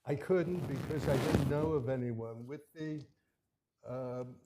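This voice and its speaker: Slow, halting man at a courtroom podium. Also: mid-range voice, very noisy. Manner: halting, hesitant, many restarts. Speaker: attorney arguing his own appeal. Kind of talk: courtroom oral argument.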